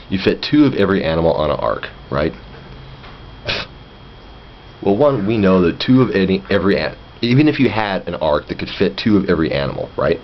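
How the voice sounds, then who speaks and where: A low-pitched man talks casually and bluntly, with lively swings in intonation and an exasperated, opinionated manner. The home recording is muffled, with noticeable background noise. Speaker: vlogger. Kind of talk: casual opinionated monologue with acted-out dialogue.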